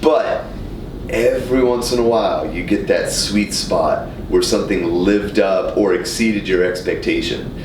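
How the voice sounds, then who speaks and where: A middle-aged man from Oklahoma talks films in a loose, enthusiastic way. His voice is on the low side, picked up from a little distance in an echoey studio. He circles and repeats words as he builds his point. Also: unhurried. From Oklahoma, United States. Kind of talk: casual film chat. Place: indoor radio studio. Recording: echoey studio, off-mic, faint rumble.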